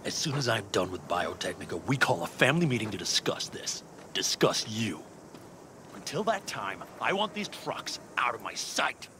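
A man's voice: on the low side, big pitch swings, moderate pace, noisy background. An actor playing a stern, exasperated male nomad clan leader in a video game, commanding and confrontational. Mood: cold, commanding. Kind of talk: cinematic video game voice acting.

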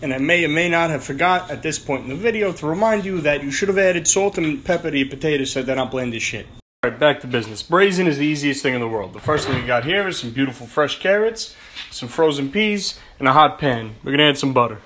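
A man's voice: a little high, animated, quick pace, a bit bright, a little hiss or hum. A young man casually narrating a home cooking video in his kitchen, joking and brash with streetwise slang. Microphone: phone camera held close.

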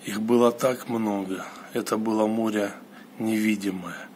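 A man giving a personal monologue, recounting an experience solemnly in short, halting phrases. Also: lowish voice. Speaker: storyteller recounting a mystical experience. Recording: clear steady background noise.

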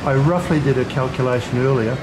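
Man, mid-pitched, deliberate interviewer, pauses between phrases, heavy street noise. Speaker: interviewer, channel host. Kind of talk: interview hosting. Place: outdoors at a street cafe. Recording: very noisy outdoor ambience.

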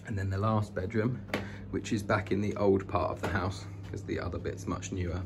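A man's voice: sits low, fairly even intonation, normal speed. A British man chatting informally and enthusiastically as he gives a casual walk-through narration indoors. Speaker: vlogger giving a house tour. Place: inside an old house, walking between rooms. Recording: noisy indoor handheld home recording.

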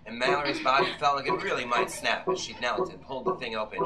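A man in his thirties narrating a novel as a suspenseful old-time radio play, with deliberate, dramatic phrasing. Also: mid-range voice, steady tone, a loud crowd, poor audio. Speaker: author reading his own novel. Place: magazine-lined shop, indoors, small audience. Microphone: camera in the audience, some distance away. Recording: live shop performance under loud music. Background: live violin and keyboard music.

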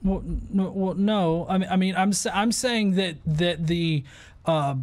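Guy with a pretty high voice arguing casually on a video call, keeps repeating words. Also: a little mellow. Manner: halting, repeats words as he argues. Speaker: host of an atheist debate show. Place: small furnished room at home, video call.

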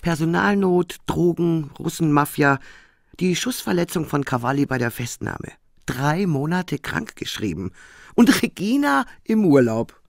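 An actress playing a harried, irritable senior prosecutor in a German radio crime drama, exasperated and clipped. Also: female voice, low voice, big pitch swings, a little slow, vocal fry, no room sound, heavily acted. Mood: irritated, sardonic.

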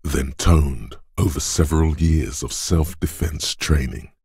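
An actor voicing a gruff, macho inner voice of physical strength for a video game, like a drill coach, blunt, taunting and commanding, with a gravelly, husky tone. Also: male voice, rumbling low, a little slow, a little mellow, no room sound, studio quality, full character voice. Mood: gruff, steady.

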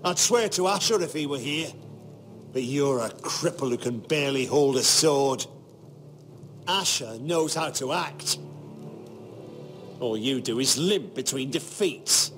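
An actor playing a bitter, defiant castle knight in a fantasy video game, scornful and forceful. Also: male voice, mid-range voice, lively intonation, unhurried, long pauses, soft background music. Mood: bitter anger. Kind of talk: dramatic video game acting.

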